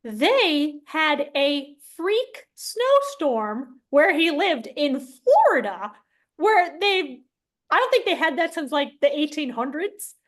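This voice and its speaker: A woman's voice: high voice, big pitch swings, slow. A woman hosting an interview as a casual chat, informal and emphatic, repeating phrases for emphasis. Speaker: interview host.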